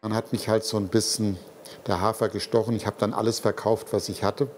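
A man in his sixties talking casually in an interview, relaxed and often ending sentences with a questioning tag. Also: fairly low pitch, brisk, a little hiss or hum. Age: 60s. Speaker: interviewee, entrepreneur.

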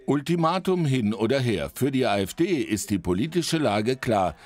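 Steady male news reporter voice-over. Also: medium pitch, moderate pace, slight background noise. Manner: steady, scripted.

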